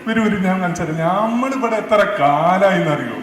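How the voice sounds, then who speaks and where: A man's voice: high-pitched, animated, moderate pace. A man with a Malabar Malayalam accent playing a boastful, bossy senior air traffic officer on a comedy stage, bragging and stammering over repeated words. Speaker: skit actor. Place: stage in a large hall. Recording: echoey hall, faint noise.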